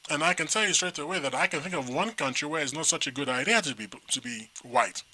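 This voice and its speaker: A middle-aged man from Nigeria co-hosting a talk show, chatting in a rambling, animated way with many restarts. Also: fairly high pitch, fast, low-quality recording. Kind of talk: casual chat.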